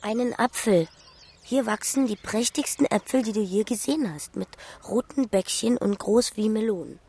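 A woman's voice: medium pitch, moderate pace, mellow, husky. Enigmatic, playful village woman. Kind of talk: naturalistic radio drama acting.

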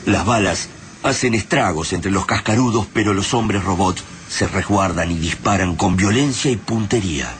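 A man's voice: low voice, normal speed, background noise. An Argentine man narrating a radio drama in a solemn, ominous, dramatic scripted style. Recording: music and effects behind.

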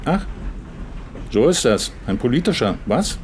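An actor playing a gruff, prying grey-haired man with a menacing streak in a radio drama. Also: mid-range voice, very animated, a little slow, slight background noise. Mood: needling.

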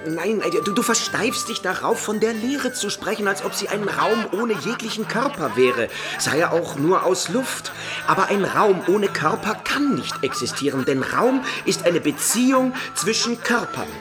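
Stubborn, very animated medieval scholar, male. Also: high voice, unhurried, over music. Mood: exasperated, arguing. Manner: disputatious, lecturing. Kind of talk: German radio play dialogue.

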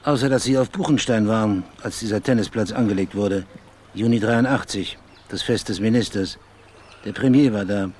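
A man's voice: fairly low pitch, normal speed, darkish tone, breathy edge. An actor playing a laconic, matter-of-fact male private detective in a radio drama, persistent in his questioning. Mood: insistent.

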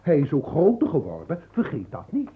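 A man's voice: fairly high pitch, huge pitch swings, moderate pace, slightly rough, heavily acted. An actor playing a fussy, timid older noble father in a radio drama, flustered and repeating his words. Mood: flustered, pleading. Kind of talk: theatrical radio drama acting. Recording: dull old radio play recording.

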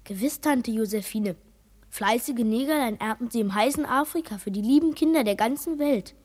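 Woman as a high-voiced dutiful little boy. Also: normal speed, darkish tone, lightly acted. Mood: eager, dutiful. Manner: eager recital, obedient. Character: dutiful, pious little schoolboy. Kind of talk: German radio play acting. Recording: reverberant hall-like sound.

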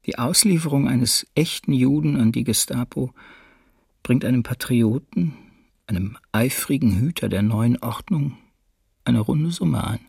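Very gravelly, troubled man in hiding. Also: medium pitch, unhurried, darkish tone, dry recording, clean studio sound. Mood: sober, troubled. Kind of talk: documentary radio play, memoir reading.

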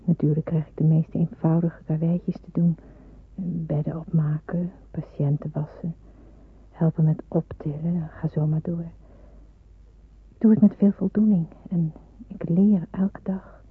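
An earnest, deferential teenage girl nurse in a radio drama. Her voice is veiled and very breathy, with a meek, hesitant delivery. The old recording is thin and narrow, like a telephone. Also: sits low, steady tone, measured pace, heavily acted. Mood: hushed, uneasy. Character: eager, deferential seventeen-year-old girl, aspiring wartime nurse. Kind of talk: radio play acting with narration.

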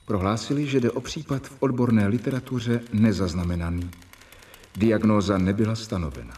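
An actor in a radio play, playing a young doctor who narrates his medical journal in measured, reporting tones. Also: on the low side, dark tone, far from the mic, close to an everyday voice. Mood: earnest. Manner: measured, reporting dates and symptoms.

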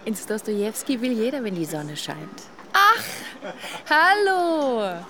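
A woman's voice: fairly high pitch, sing-song, takes it slowly, bright, forceful tone, heavily acted. An actress playing a level-headed, teasing woman in a naturalistic radio drama, speaking in a wry conversational way. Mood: cheerful. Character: pregnant woman, level-headed, teasing her grumbling partner.

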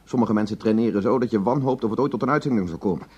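A defensive husband in a radio drama, self-important, talks fast, bit raspy. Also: male voice, fairly low pitch, darkish tone, highs cut off. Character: aggrieved, self-justifying married obituary radio producer. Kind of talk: Dutch radio play acting.

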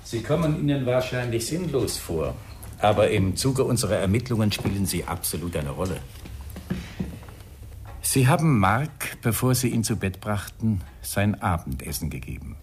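A man's voice: fairly low pitch, sing-song, slight background noise. An actor playing a probing, irritable male police inspector in a radio crime drama, weary, sardonic and insistent. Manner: measured, insistent questioning, grumbling.

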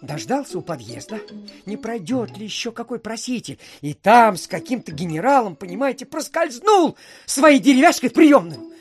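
An actor playing a chatty provincial official who spins a folksy, rambling tale in an audio play, full of filler phrases. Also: male voice, high-pitched, big pitch swings, moderate pace, soft background music. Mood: animated, ironic. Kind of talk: theatrical storytelling in an audio play.

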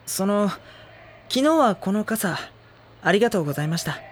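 An actor playing a gentle, worrying high-school boy in a Japanese audio drama, soft-spoken and polite. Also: big pitch swings, moderate pace, a little hiss or hum. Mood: caring, exasperated. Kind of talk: Japanese audio drama acting with introspective narration.

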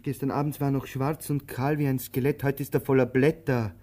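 An insistent vagabond man with a medium-pitched voice in a radio play, acted by a man. He coaxes and prods his companion, groping anxiously for words and repeating himself in halting, theatrical phrases. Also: little pitch movement, dark tone, natural voice. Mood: groping, excited. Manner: halting, repetitive, coaxing and insistent.

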